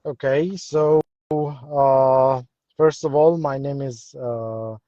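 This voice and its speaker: A man's voice: medium pitch, fairly even intonation, drawn-out words, warm tone, dry recording. A middle-aged man with an Egyptian accent talking casually about himself as an interview guest, hesitant and agreeable. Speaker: guest, English instructor. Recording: dull, dry live-stream audio.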